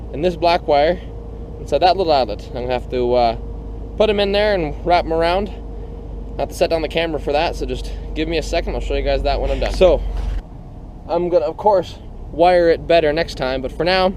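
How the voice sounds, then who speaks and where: A man giving a casual hands-on product demonstration, explaining informally and haltingly, step by step. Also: fairly high pitch, a little mellow, slight background noise. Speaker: product reviewer.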